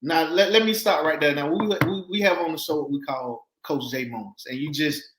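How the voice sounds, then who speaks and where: A man's voice: fairly high pitch, a little fast, background noise. A man hosts a motivational interview show with a slightly raised voice, talking eagerly, doubling back on words and stumbling as he pushes his questions. His voice rings with the echo of the room around him. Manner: enthusiastic, repeats words, stumbles and restarts. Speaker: show host and coach. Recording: echoey room.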